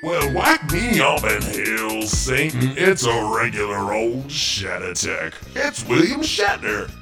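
A man's voice: mid-range voice, huge pitch swings, slow, vocal fry, distant mic, music in the background. A man hosting a mock game show with scripted comic narration, in a theatrical, exaggerated announcer style.

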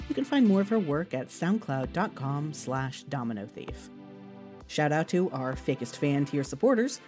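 A woman's voice: medium pitch, warm tone. A woman reading scripted closing credits for a podcast as its producer. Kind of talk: scripted narration. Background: faint music.

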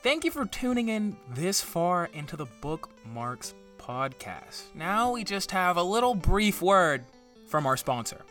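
A man's voice: high voice, big pitch swings, measured pace, a bit bright, recorded from a distance, soft background music, exaggerated voice. A man playing a dramatic, rambling, self-pitying podcast co-host and aspiring writer in an improvised comedy. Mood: upbeat promotion. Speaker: book review podcast co-host.

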